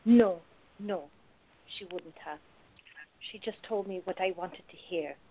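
A woman playing a protective, worldly lover with bitterness about an old flame, speaking deliberately and emphatically in a radio play. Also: mid-range voice, breathy edge. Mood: bitter, resolute. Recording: dry, narrow phone-like lo-fi sound.

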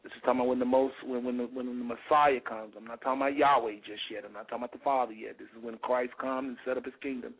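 A man with a slightly raspy, mid-pitched voice preaches with fervor on a call-in radio show. He repeats phrases for emphasis and reads scripture aloud. The sound is thin and phone-like. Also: steady tone, fast, hardly any pauses. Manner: fervent, rhetorical repetition, reads scripture aloud.

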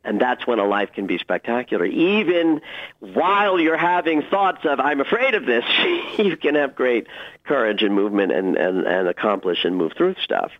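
A slightly lo-fi radio recording carries a man with a mid-pitched, very lively voice. He explains as a guest expert in conversation, stressing key words with expressive, emphatic phrasing. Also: normal speed. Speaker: guest astrologer.

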